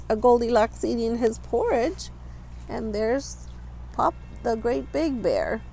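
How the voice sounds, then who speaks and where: A woman's voice: on the high side, a little mellow, poor audio. A woman reading a children's picture book aloud expressively, adding simple asides for young listeners. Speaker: storytime reader.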